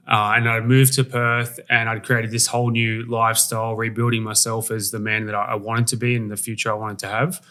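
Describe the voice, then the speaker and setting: A man in his thirties from Australia with an Australian accent, a podcast guest telling a story in a halting way and repeating words. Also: on the low side, pushed and bright. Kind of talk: casual storytelling interview.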